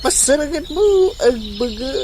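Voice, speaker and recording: A woman playing a calm, mysterious otherworldly being who hands out quests in a comic audio drama, speaking solemnly. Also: sits high, a little slow, background noise. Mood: instructing.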